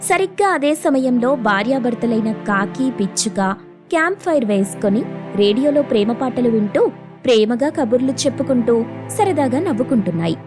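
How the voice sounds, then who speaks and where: A woman's voice: fairly high pitch, animated, normal speed, music in the background. A woman reading scripted narration for a children's cartoon story.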